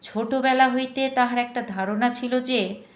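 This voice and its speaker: A woman narrates a classic novel at a very slow pace, in a storyteller's dramatized way. Her voice sits fairly high and carries a strong echo around each phrase. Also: fairly even intonation. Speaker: audiobook narrator. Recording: echoing room or reverb.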